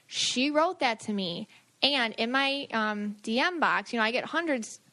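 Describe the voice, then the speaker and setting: A woman's voice: fairly high pitch, animated, a little slow, bright, forceful tone. A woman hosting a casual podcast as a spiritual medium, telling stories in a chatty, informal way.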